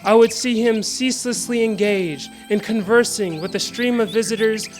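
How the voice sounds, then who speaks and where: A woman giving a scripted dramatic reading in a reverent, measured storytelling manner. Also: fairly high pitch. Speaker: dramatic reader of a historical account. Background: music and birdsong.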